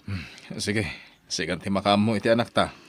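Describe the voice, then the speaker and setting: A man's voice: fairly low pitch, normal speed, slight background noise, close to an everyday voice. A man with an Ilocano accent playing an easygoing working husband, speaking casually and a little hesitantly in an audio drama. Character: easygoing hardworking husband and father, a building contractor.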